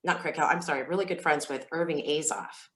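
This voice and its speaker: Low-voiced woman in an echoey room. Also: quick pace, fairly bright tone. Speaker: singer, interview guest. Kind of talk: casual interview storytelling. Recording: roomy, echoey sound.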